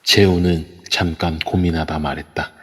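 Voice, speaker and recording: A man narrating an audio drama in a steady storytelling way. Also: very deep voice, moderate pace. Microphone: phone in an online voice room. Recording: long reverb on a voice chat app.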